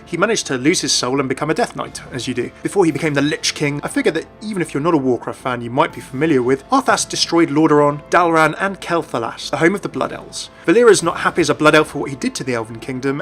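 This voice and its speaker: Fast-talking, higher-pitched male video narrator. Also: fairly bright tone. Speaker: video narrator and game commentator. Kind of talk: scripted explainer narration. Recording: voice-over with light background music. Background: background music.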